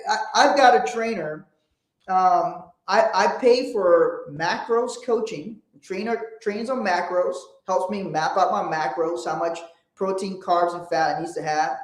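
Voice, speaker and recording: A man's voice: high-pitched, normal speed. Blunt man in an echoey room. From Liberia. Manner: blunt, profane, emphatic. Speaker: host. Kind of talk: motivational livestream talk.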